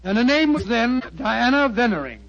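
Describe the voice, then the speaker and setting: An actor playing Doctor Watson as an elderly, genial British gentleman, avuncular and a little hesitant, in 1940s radio drama style. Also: very high-pitched, very animated, normal speed, heavily acted. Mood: genial storytelling. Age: older. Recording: dull old 1940s radio broadcast.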